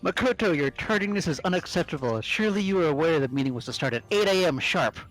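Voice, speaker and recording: A man's voice: fairly high pitch, expressive, fast, a bit bright, poor audio. A man voicing a stern, pompous, rule-bound student in a game, formal and officious, with music behind. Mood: indignant.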